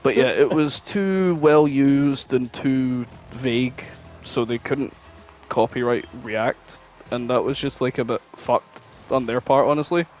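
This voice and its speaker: A man's voice: medium pitch, unhurried, darkish tone. Sweary man chatting casually over music. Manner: sweary, informal. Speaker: podcast co-host. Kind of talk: casual chat, reminiscing.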